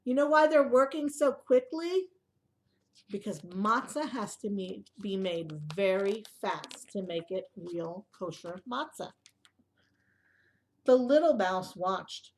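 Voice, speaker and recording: A woman reading a picture book aloud to young children in an expressive way, with asides and questions to the listeners. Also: medium pitch, unhurried, rough recording.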